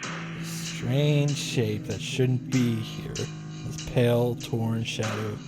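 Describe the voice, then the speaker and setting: A man's voice: medium pitch, steady tone, extremely slow. A man playing a visual novel, reading its story text aloud and reacting as he goes. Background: game music.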